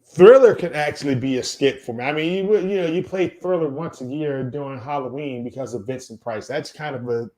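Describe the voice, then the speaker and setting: A man's voice: mid-range voice, brisk, hardly any pauses, mellow. Opinionated man in his late forties, talking casually. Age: late 40s. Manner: opinionated, emphatic, repeats himself. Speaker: podcast co-host. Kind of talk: casual music debate.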